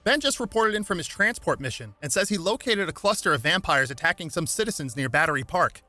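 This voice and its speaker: A measured, brainy male scientist hero , acted by a man, explains things precisely in storybook style. The voice is bright, over faint music. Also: fairly high pitch, animated, no room sound, voice pushed up. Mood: grave.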